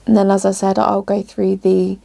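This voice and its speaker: A woman's voice: medium pitch, monotone, moderate pace, vocal fry, mellow. A woman vlogging casually from her home craft studio, talking through her work with plenty of ums and restarts, a little bunged up. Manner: chatty, many ums and restarts. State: slightly bunged up from a runny nose. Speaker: small business vlogger. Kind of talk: casual vlog chat to camera.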